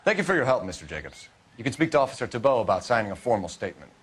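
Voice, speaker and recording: A man's voice: mid-range voice, big pitch swings, very fast, slightly rough. A man acting a clipped, businesslike American police detective in a 1940s crime video game, formal and authoritative. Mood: firm questioning.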